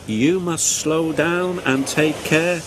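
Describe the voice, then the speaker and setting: A man doing a gentle old engine. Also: on the high side, fairly even intonation, slow, a little hiss or hum, voice pushed up. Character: gentle, patient old male steam engine. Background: background music.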